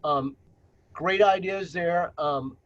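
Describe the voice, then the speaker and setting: A man's voice: on the high side, slow, fairly bright tone, highs cut off. A man leading a virtual planning workshop on a video call, presenting slides with many fillers and restarts.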